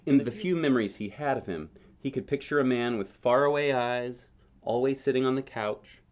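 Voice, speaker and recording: Man, medium pitch, storybook read-aloud, chatty asides, questions to the listener. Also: moderate pace, a little mellow. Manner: conversational, asks the listener questions about the pictures. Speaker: parent reading a picture book aloud.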